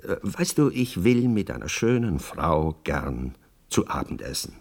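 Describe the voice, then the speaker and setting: A very deep-voiced, wry bachelor. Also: male voice, very animated, unhurried, gravelly, exaggerated voice. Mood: cynical, teasing. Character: cynical bachelor friend, wry, urbane, reluctantly indulgent, woman-weary. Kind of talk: radio play dialogue.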